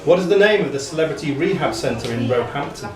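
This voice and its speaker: A man's voice: a little high, lively intonation, fast. A man reading out questions as quizmaster at a live pub quiz. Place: pub function room. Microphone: distant room microphone. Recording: echoey room, distant mic, crowd noise.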